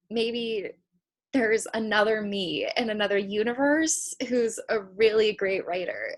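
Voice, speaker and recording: A woman's voice: mid-range voice, lightly acted. An actress playing a dreamy, hesitant young woman who rambles reflectively in a naturalistic play reading. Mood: musing, hopeful. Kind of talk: naturalistic stage drama read over video call.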